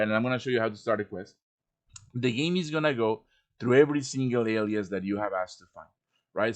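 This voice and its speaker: Male livestream instructor, average pitch, informal and talkative, walks listeners through each step and keeps checking they follow. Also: a bit bright. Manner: chatty, explains step by step with check-in tags. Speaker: host and instructor of a livestream tutorial. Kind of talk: informal live software tutorial.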